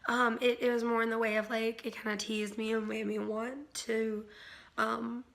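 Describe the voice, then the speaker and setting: A woman with a bright, slightly high voice, still worn from a recent illness. She rambles through a casual book review, full of ums and likes and animated asides. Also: normal speed, creaky.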